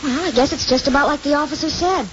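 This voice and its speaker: A woman's voice: high-pitched, quick pace, lightly acted. An actress playing a defiant, chatty young runaway woman in 1950s radio drama style, plaintive and frank. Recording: muffled, faintly noisy 1950s radio recording.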